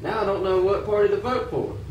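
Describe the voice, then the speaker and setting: A man's voice: sits high, a little fast, muffled recording, lightly acted. A man playing a disgruntled old-time American working man in an amateur puppet show. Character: disgruntled 19th-century American working man, sceptical of politics. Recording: echoey room, distant mic, faint noise.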